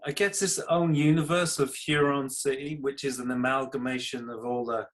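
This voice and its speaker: A man's voice: mid-range voice, steady tone, normal speed, a bit bright. A man with a British accent, talking casually and modestly about his own creative work as an interview guest. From United Kingdom. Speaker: podcast guest, comedy writer and creator.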